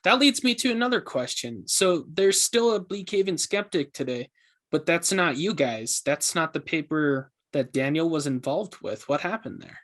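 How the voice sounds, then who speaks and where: A man's voice: on the high side, animated, moderate pace, a bit bright. A man playing an earnest podcast host in an audio drama, talking casually and a bit hesitantly. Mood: curious. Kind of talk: casual scripted podcast interview.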